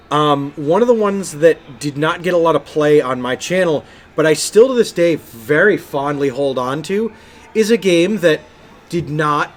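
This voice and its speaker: A man co-hosting a gaming podcast, chatting casually and enthusiastically. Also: a little high. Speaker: podcast co-host and game streamer.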